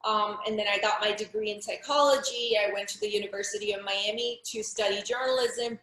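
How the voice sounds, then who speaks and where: A woman's voice: mid-range voice, fairly even intonation, brisk, fairly bright tone, reverberant, poor audio. A middle-aged woman from Pakistan, speaking frankly and earnestly as an interview guest, often restarting her sentences. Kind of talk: personal testimony in an interview.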